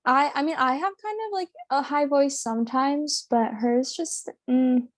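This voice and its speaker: A woman's voice: high-pitched, unhurried, no room sound, clean studio sound. A teenage girl chatting on a podcast as a guest, giggly and easygoing. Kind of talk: casual chat.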